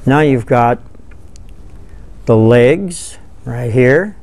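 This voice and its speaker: An older man giving a relaxed, folksy fly-tying tutorial, thinking aloud as he works at a table in a studio. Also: medium pitch, extremely slow. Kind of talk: hands-on tutorial. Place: studio with dark backdrop, seated at table.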